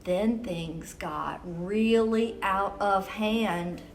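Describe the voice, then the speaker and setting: A woman reading a picture book aloud to children as a storyteller, expressive and exclamatory, with dramatic emphasis on key words. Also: mid-range voice, very slow, far from the mic, a little hiss or hum. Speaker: children's storyteller and event host. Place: University museum gallery.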